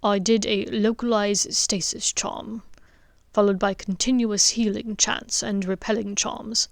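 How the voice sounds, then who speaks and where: A stern, precise male wizard explains in slow, hushed, clipped tones, read by a woman. The delivery is very unhurried. The sound is dry and close. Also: medium pitch, steady tone, creaky, no room sound.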